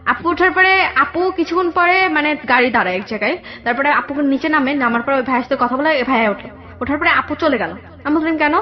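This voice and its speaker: A young woman with a Bangladeshi accent telling her personal story in a radio interview, halting and repeating herself. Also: high-pitched. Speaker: guest telling own life story. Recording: dull lo-fi radio audio, background music.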